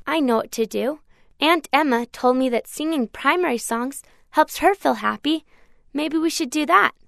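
A woman voicing a soft sad little girl. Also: high-pitched, normal speed, creaky, dry recording, clean studio sound, pitched above the performer's own voice. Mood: cheerful. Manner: soft, childlike. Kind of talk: storybook reading.

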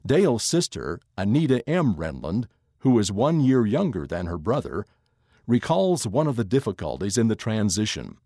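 A man giving a scripted narration of an article in a measured, expressive reading voice. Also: fairly low pitch, no echo, studio quality.

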